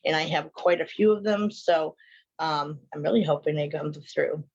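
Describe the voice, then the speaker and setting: A woman's voice: low-pitched, moderate pace, darkish tone, slightly rough, lo-fi sound. A woman hosting a live online show in casual, rambling, chatty talk, sounding sinusy and sniffly from allergies. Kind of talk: casual livestream chat with viewers.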